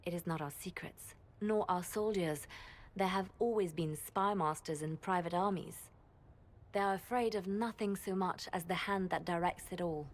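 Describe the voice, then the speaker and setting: An actress playing a composed spymaster who speaks softly and deliberately, with a conspiratorial edge. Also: female voice, on the low side, natural voice. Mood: measured. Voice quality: soft, measured, quietly conspiratorial. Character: composed, scheming spymaster woman.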